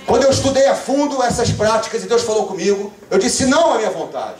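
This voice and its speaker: A young Brazilian man with a Rio de Janeiro accent, preaching a fervent revival sermon with call-and-response and bursts of shouting. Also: high voice, expressive, fast, a little hiss or hum. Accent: Rio de Janeiro Brazilian Portuguese. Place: church sanctuary. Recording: echoey church from far off.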